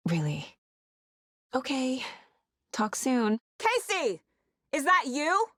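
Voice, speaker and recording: An actress playing a determined, dry-witted FBI agent in a video game, speaking in a focused, understated way with a husky, breathy voice. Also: female voice, high voice, wildly swooping pitch, slow, fairly bright tone, dry recording, heavily acted. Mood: friendly, casual, then urgent shouting. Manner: focused, understated, often muttering to herself. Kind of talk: naturalistic video game dialogue.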